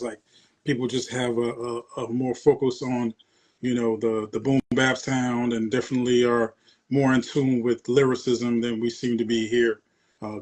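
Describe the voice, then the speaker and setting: A man hosting a casual interview, putting his questions in a halting way and rephrasing as he goes. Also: mid-range voice, husky, low-quality recording. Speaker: interview host.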